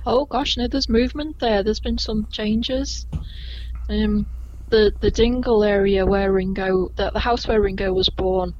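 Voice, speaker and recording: A woman with a gentle Scouse accent talks easily in a casual podcast interview. She laughs a lot and trails off into ums while she thinks. A faint hiss sits under the recording. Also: mid-range voice, steady tone, a little slow, muffled recording. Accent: gentle Liverpool (Scouse). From Liverpool, England. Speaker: tour guide guest.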